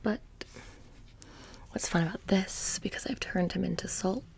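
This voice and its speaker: Soft whispering woman, low and creaky. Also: unhurried. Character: witch shopkeeper, casual, smug, quietly menacing. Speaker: ASMR roleplay performer. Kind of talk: soft-spoken ASMR roleplay, close and whispery.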